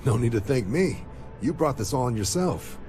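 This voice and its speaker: A man's voice: mid-range voice, expressive, moderate pace, a little mellow, faint music behind, natural voice. A man playing an affable, businesslike military commander in a video game, with hearty, informal authority. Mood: congratulatory.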